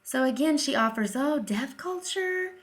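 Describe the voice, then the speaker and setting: A woman's voice: high-pitched, expressive, unhurried. Emphatic woman giving opinionated commentary. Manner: emphatic, sarcastic, rhetorical questions. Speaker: video commentator, deaf-education advocate.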